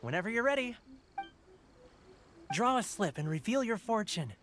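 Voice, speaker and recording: A woman's voice: mid-range voice, very animated, normal speed, very noisy, close to an everyday voice. A woman voicing a welcoming fortune-slip seller at a festival in a video game, chatty and deferential.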